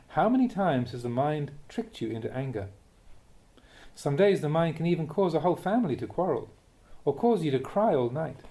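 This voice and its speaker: A man reading a spiritual teaching aloud in a measured, instructive way. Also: fairly high pitch, warm tone. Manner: measured, instructive, with rhetorical questions. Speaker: monk reading a Buddhist teaching.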